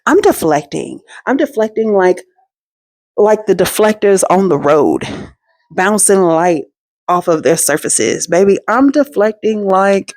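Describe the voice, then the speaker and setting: A woman hosting her own storytime show, telling a personal story in a chatty, slangy and animated way, full of asides to her viewers. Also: mid-range voice, measured pace, dry recording, clean studio sound. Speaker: storytime host.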